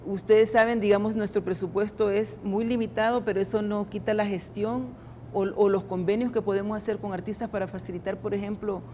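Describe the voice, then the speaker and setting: A woman, a government culture official, answering interview questions in a halting way with many restarts. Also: medium pitch, steady tone, dark tone, slight background noise. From El Salvador.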